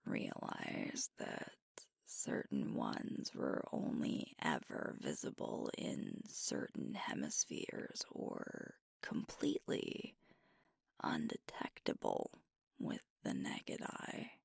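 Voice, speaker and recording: A tender werewolf girlfriend, acted by a woman, shares nostalgic stories in a breathy whisper for an ASMR roleplay. The delivery is slow and intimate, crackling with fry, and it sounds close and dry. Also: a bass voice, lively intonation, no room sound, heavily acted. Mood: gentle nostalgic. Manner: gentle, unhurried, intimate storytelling.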